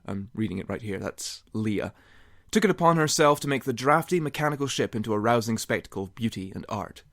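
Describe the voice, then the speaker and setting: A man's voice: fairly low pitch, no room sound, studio quality. A man narrating comedic fan fiction in a mock-serious, theatrical way with wry asides. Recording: music behind the voice. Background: music.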